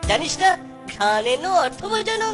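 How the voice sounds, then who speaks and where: A woman's voice: high-pitched, very animated, normal speed. A woman voicing a grumpy, stingy sweet-shop owner and father in a cartoon, with a scolding, dismissive manner. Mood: mocking, dismissive. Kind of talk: cartoon voice acting. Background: background music.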